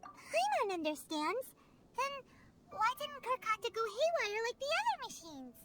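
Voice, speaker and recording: A woman voicing a tiny, childlike fairy companion in a video game, squeaky, naive and inquisitive. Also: big pitch swings, measured pace, over loud music, heavily acted. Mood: curious. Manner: childlike, speaks of self in third person. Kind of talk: cartoonish video game dialogue.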